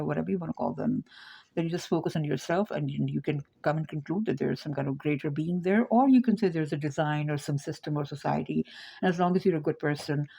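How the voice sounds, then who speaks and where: A woman's voice: low voice, vocal fry, dark tone, husky. A woman teaching her framework in an informal lecture, meandering and thinking aloud, often checking in with "right?".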